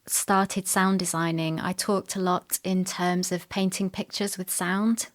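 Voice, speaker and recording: A woman's voice: fairly low pitch, level tone, a little slow. A middle-aged woman talking conversationally as an interview guest. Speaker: guest, sound designer and producer.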